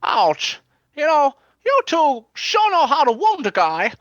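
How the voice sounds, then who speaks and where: A woman's voice: high voice, wildly swooping pitch, slow, no echo, dull audio, heavily acted. A woman playing a chummy, nervous, wheedling male detective in a comic radio play, flustered and overly agreeable. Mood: jovial.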